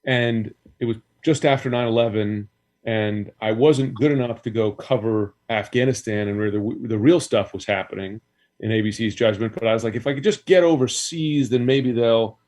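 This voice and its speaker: A middle-aged man chats as a guest, often stopping and restarting his thoughts before getting to the point. His voice sits at an ordinary pitch for a man. He speaks over a video call from a room at home. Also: normal speed, a little mellow. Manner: rambling, self-interrupting. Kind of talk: casual chat.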